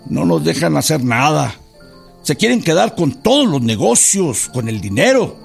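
A man's voice: a little high, very animated, normal speed, gravelly, breathy edge, faint music behind, exaggerated voice. A man playing an earnest, educated Mexican businessman turned revolutionary in a radio drama, polite, hesitant and persuasive. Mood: earnest, insistent.

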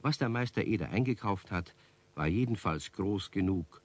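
A storyteller narrates a children's radio play. His voice is very low and husky, and the scripted telling moves with lively intonation. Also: moderate pace. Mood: amused telling.

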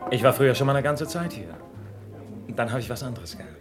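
A man's voice: medium pitch, lively intonation, quick pace, over music, lightly acted. An actor playing a matey but evasive club barman with a shady past in a radio crime drama, talking colloquially. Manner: colloquial, cagey. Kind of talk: German radio crime play acting, colloquial.

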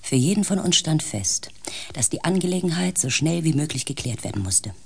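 An actor playing a calm, matter-of-fact expedition member at base camp, who also narrates the radio play. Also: mid-range voice, brisk, gravelly, husky, heavily acted. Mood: grave narration.

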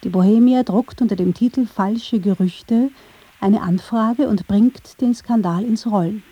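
A woman's voice: mid-range voice, measured pace, warm tone, husky, no room sound, muffled recording. A woman reading scripted narration for a radio documentary.

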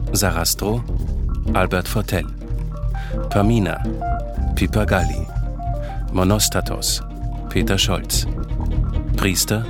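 A man with a deep voice announces in a formal, scripted radio style. His delivery is slow and measured, over loud music.

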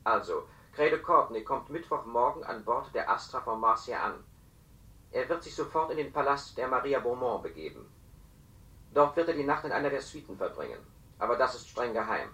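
An actor playing a cautious, grave telepathic informant in a radio play, speaking precisely and confidentially. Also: male voice, mid-range voice, very animated, moderate pace, bright, forceful tone. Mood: grave, secretive. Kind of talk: German radio play acting. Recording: roomy, echoing radio studio.

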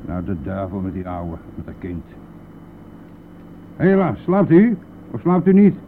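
An actor playing a brash, defensive bus driver in a Dutch radio play, blustering and scoffing in colloquial speech. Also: male voice, mid-range voice. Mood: mocking, muttering. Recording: tinny band-limited old radio recording, noisy.

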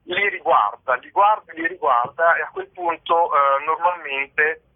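A man's voice: a little high, huge pitch swings, creaky. Man on a tinny phone line. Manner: halting, thoughtful, searching for words. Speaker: theatre company co-founder being interviewed. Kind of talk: spoken interview, explaining stage works.

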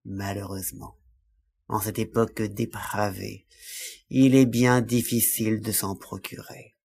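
A man playing a suave, menacing vampire count in an audio drama, speaking in a smooth, courtly manner. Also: lowish voice, flat delivery, a little slow, a little mellow, heavily acted.

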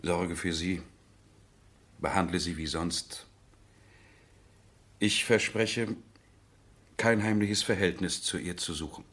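An actor in a 1970s German radio drama playing a stubborn, lovesick aristocratic husband with formal, deliberate phrasing. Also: male voice, sits low, steady tone, fairly bright tone, heavily acted. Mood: resolute, wounded. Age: middle-aged.

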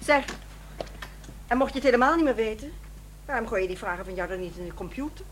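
An actress playing an unsentimental middle-aged ex-wife in a radio play, matter-of-fact and impatient. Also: female voice, on the high side, animated, quick pace, slight background noise, close to an everyday voice. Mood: practical advice. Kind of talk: naturalistic radio play acting.

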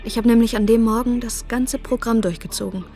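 A woman's voice: fairly high pitch, steady tone, brisk, warm tone, faint music behind, natural voice. An actress playing a frazzled mother telling her story in a wry, self-justifying monologue in a radio play. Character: frazzled middle-class mother.

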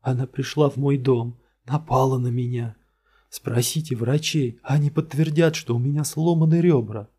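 A man voicing a terrified male murder suspect in a storybook reading, pleading and shouting in a hoarse, cracking voice. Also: mid-range voice, steady tone, normal speed, voice pushed up, close to an everyday voice. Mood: frantic.

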